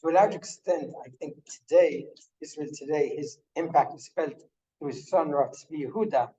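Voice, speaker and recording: A man in the class making a hesitant, halting comment to the lecturer. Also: fairly high pitch, warm tone, lo-fi sound. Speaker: class participant. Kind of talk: comment from the audience.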